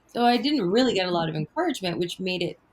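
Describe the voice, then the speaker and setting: A woman's voice: fairly low pitch, animated, quick pace. A middle-aged woman guest born in Afghanistan and raised in Canada, speaking thoughtfully in a conversational interview with many fillers and restarts. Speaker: guest, activist and author.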